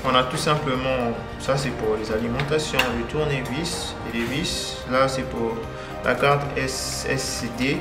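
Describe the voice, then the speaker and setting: A man with a mid-pitched voice hosts a casual product presentation in a roomy, echoing space. His phrasing is halting and full of filler sounds. Music is clearly mixed in with his voice. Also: fairly even intonation. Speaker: video host, product reviewer.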